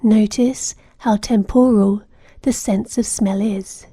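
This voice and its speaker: Slow, breathy woman guiding meditation. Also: medium pitch, fairly even intonation, dry recording. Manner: soothing, gentle, measured instruction with soft breathy phrase endings. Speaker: meditation guide. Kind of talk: guided meditation narration.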